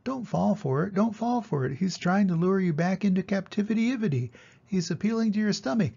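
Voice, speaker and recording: Storybook goose, female, bossy and excitable, shrill, put on by a male reader; dark, husky tone. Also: fairly quick, heavily acted. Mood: pleading. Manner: shrill, repeats phrases in bursts. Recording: dull lo-fi recording.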